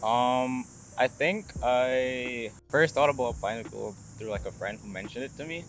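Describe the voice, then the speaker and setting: A man's voice: mid-range voice, normal speed, slight background noise, rough recording. A young man from Barbados, a student interview guest, chatting casually and loosely with lots of hedging. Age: young adult. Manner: informal, hedging, peppered with "like". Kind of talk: casual chat.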